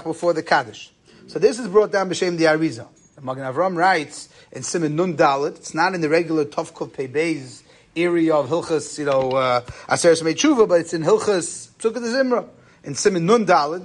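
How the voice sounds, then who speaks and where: High-pitched man teaching a religious lesson. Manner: question-and-answer explaining, Yeshivish phrasing with Hebrew terms mixed in. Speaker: rabbi giving a lecture. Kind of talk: informal religious lesson, explaining texts.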